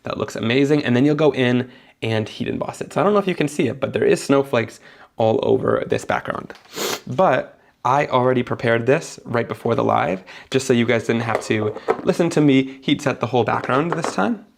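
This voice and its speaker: A man's voice: medium pitch, big pitch swings, fairly quick, vocal fry. A young man, sniffly after being sick, chatting enthusiastically as he narrates each step of a live card-making tutorial.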